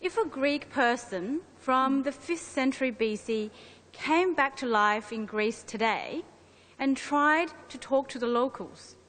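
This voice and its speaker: A woman's voice: high-pitched, measured pace, a bit bright. Chinese-native woman explaining in a hall. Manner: explanatory, careful, addresses her co-presenter. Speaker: conference presenter. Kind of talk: scripted conference presentation. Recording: long hall reverb.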